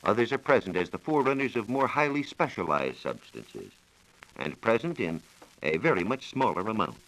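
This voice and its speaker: An American man giving a scripted science talk on 1940s radio, explaining in a measured, formal manner. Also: on the low side, very animated, a bit bright, rough recording. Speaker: science reporter explaining research. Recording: old 1940s radio broadcast recording.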